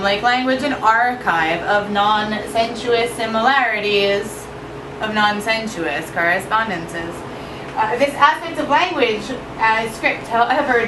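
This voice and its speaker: A woman poet giving an expressive live poetry reading at an evening event. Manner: expressive, shifting pitch and pace for effect. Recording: roomy echo from far off with noise.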